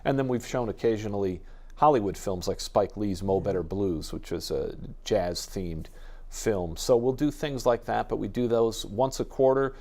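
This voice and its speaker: A man with an American accent and a lowish voice. He speaks in a casual, conversational interview style, as a radio station guest explaining things. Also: expressive, normal speed, mellow.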